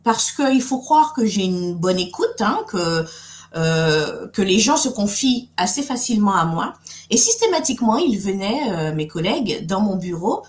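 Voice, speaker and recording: A woman's voice: mid-range voice, sing-song, measured pace, echoey room. A middle-aged woman from Guadeloupe hosting a live webinar, speaking in a friendly way with many fillers and restarts. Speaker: webinar host and coach.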